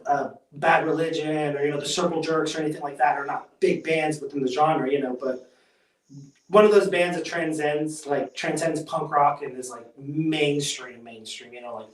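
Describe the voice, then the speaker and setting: A man, a drummer being interviewed, chatting casually in an excitable, halting, slangy, rambling way. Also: a little high. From United States. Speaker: band drummer, interview guest. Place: echoey room. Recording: echoey room, distant from mic.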